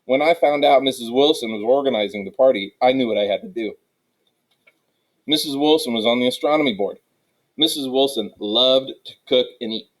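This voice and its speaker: A man reading a children's chapter book aloud as a storyteller, with expressive delivery. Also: mid-range voice, a little fast, rough recording.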